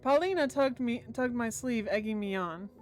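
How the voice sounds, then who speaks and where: A woman streams a visual novel, reading the text out and playing the lines with expression. Her voice is on the high side, with soft music in the background. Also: animated, normal speed. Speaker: game streamer. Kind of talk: reading visual novel translation aloud with commentary.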